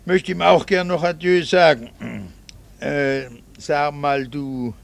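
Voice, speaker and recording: An actor playing a middle-aged doctor and husband in a radio drama, a gravelly voice and a dry, wry, quietly resentful manner. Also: male voice, a little high, slow pace. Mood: casual, guarded. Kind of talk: 1960s radio drama acting.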